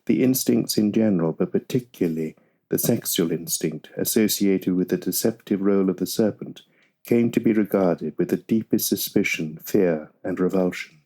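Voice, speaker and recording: Very deep-voiced male audiobook narrator. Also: warm tone. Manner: measured, deliberate phrasing. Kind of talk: scripted nonfiction audiobook narration.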